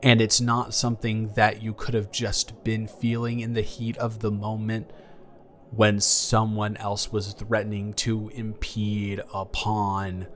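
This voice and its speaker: A young man playing a caring, awkward ex-boyfriend in an improvised romantic audio roleplay, speaking in a halting, earnest, gently reassuring way. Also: fairly low pitch, monotone. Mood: hesitant, probing. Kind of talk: improvised romantic audio roleplay, intimate one-sided conversation. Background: background music.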